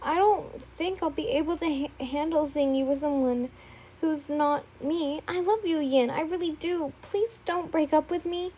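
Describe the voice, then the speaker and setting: A woman's voice: high voice, measured pace, mellow, pitched above the performer's own voice. Woman reading as a clingy, pleading young man. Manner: pleading, emotional.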